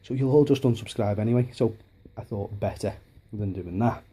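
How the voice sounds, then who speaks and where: A man with a softened Liverpool accent talks through his hobbies on a handheld camera in his dining room. His voice is low, husky and mellow, and he rambles, circling back with plenty of ums and restarts. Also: normal speed. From Liverpool, England. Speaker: hobbyist vlogger. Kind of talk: casual home video chat.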